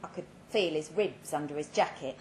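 A woman giving a measured, dramatic reading of a first-person short story at a live event, with pointed pauses. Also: low-pitched, darkish tone. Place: indoor live literary event. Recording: roomy live venue, clear background noise.

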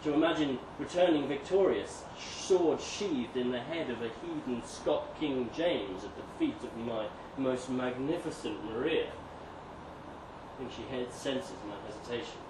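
A man giving an expressive, theatrical live reading of a comic historical monologue, swelling and hushing for drama. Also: mid-range voice, measured pace. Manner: expressive, dramatic, with emphatic swells and hushed drops. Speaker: story reader. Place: live reading event in a venue. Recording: echoey live room, distant mic, noisy ambience.

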